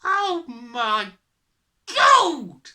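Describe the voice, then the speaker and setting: A woman voicing a blustering, panicky, vain middle-aged British father who yells his lines in a storybook. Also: very high voice, wildly swooping pitch, drawn-out words, pitched above the performer's own voice, exaggerated voice. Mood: furious yelling. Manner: yelling, blustering, exclamatory.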